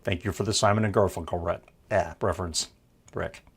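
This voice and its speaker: A man's voice: low voice, fairly even intonation, moderate pace, breathy edge. A man hosting a podcast, joking and laughing in casual chat. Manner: jokey, laughs often. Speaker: podcast host.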